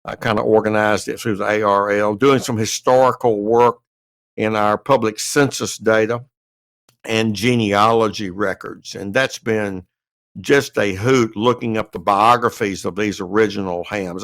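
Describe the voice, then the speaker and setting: A man's voice: lowish voice, steady tone, normal speed, a bit bright, no echo. An American man giving a detailed, methodical update as a panellist on a casual podcast. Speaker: podcast panellist and amateur radio writer.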